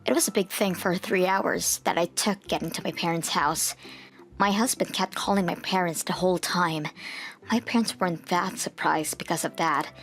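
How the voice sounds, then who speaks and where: A woman's voice: fairly low pitch, moderate pace, a bit bright. A woman reading scripted first-person story narration for a dubbed comic. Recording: music behind the voice.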